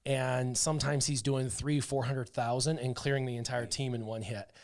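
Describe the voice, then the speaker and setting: A man streaming a mobile game, breaking down his team's abilities in a loose, excitable way. He talks in a mid-range voice and leans on fillers as he thinks aloud. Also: medium pitch, moderate pace. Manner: chatty, excitable, full of fillers like "um" and "like". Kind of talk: casual livestream commentary.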